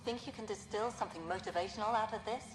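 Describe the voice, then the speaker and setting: A woman's voice: mid-range voice, fast talker, roomy sound, close to an everyday voice. An actress playing a stern, pragmatic woman who directs a colony, speaking with dry skepticism. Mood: wry.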